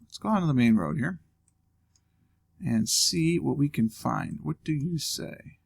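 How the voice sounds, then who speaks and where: Man, gravelly voice, lively pitch, casual game commentary, thinking aloud. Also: unhurried. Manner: thinking aloud, musing and joking. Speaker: let's play host.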